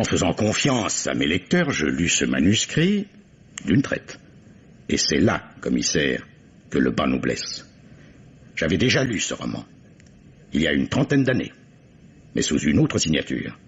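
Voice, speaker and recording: A very deep, heavily husky voice: an older male publisher, grave and formal. His literary, deliberate sentences swing expressively in pitch, as in an old radio mystery. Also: wildly swooping pitch, full character voice. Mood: grave, dramatic. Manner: literary, deliberate, dramatic phrasing. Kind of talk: French radio mystery drama acting. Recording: dull old radio recording.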